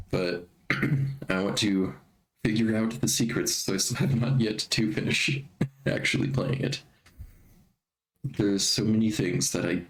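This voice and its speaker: Slow-talking stuffy male streamer. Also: fairly low pitch, rough recording. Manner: chatty, frequent throat clearing. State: stuffy from allergies. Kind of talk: casual live-stream chat.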